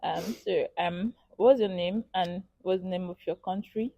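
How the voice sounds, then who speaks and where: A woman's voice: on the low side, steady tone, a little slow, creaky, dry recording, phone-quality sound. A woman interviewing a student, asking friendly questions and paying compliments. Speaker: street interviewer.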